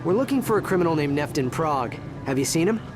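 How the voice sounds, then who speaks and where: An actor playing a young, principled alien adventurer in a video game, speaking in a direct, earnest way. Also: male voice, on the high side, lively intonation, quick pace, close to an everyday voice. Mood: curious, earnest. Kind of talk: animated game character acting. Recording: loud music over the voice.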